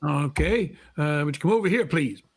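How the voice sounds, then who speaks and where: A man acts a wheedling swap-shop keeper in an old-time radio play. His voice is high and animated, pushing the sale with every line. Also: moderate pace, breathy edge. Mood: salesman-like. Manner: haggling, salesman-like. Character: pushy, wheedling second-hand shop keeper. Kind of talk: vintage radio drama acting.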